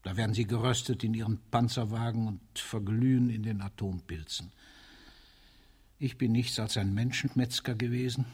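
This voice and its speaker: An actor playing a world-weary, cynical military ruler in a radio drama, speaking in a bitter, deliberate, rhetorical way. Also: male voice, lowish voice, slightly rough. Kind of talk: theatrical radio drama monologue.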